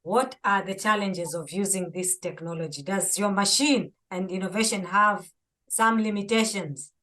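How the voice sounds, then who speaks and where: A woman's voice: mid-range voice, moderate pace, a bit bright. A woman hosting an interview and asking questions with enthusiasm. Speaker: podcast host.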